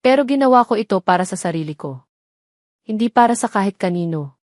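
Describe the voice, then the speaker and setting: Woman playing a calm, independent young woman. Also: mid-range voice, brisk, no echo, clean studio sound, close to an everyday voice. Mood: self-assured. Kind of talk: romantic audio drama.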